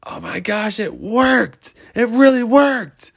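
A man voicing an excitable, eager young girl adventurer in a children's story, exclaiming and repeating phrases. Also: mid-range voice, takes it slowly, gravelly, breathy edge, pitched above the performer's own voice, exaggerated voice. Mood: excited.

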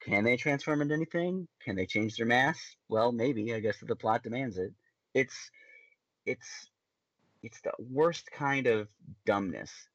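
A man's voice: medium pitch, measured pace, a bit bright, no echo, dull audio. A man co-hosting a podcast who rants in casual chat and often stammers and restarts his words. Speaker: podcast co-host.

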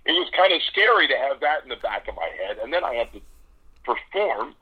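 Male guest storyteller, animated, laughs mid-sentence, slightly husky, dull phone-line sound. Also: mid-range voice, brisk. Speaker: impressionist guest. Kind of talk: casual radio interview.

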